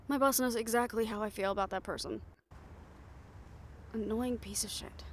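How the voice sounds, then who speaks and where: A woman playing a bold, sarcastic, foul-mouthed reporter in an improvised audio roleplay, speaking in a candid, conversational way. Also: a little high. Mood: irritated, sardonic. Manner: conversational, exasperated, candid, with sighs and swearing.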